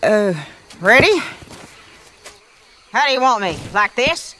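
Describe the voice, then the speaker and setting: Tired lady gunslinger, dry n resigned, talks slow, faint noise. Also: fairly high pitch, big pitch swings, pushed and bright, heavily acted. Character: weary, wry female outlaw gunslinger. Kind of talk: video game cutscene acting.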